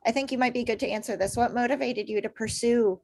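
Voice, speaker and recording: Higher-voiced woman co-hosting a webinar. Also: steady tone, fast, creaky, a little mellow, slight background noise. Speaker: co-host. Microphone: video call microphone.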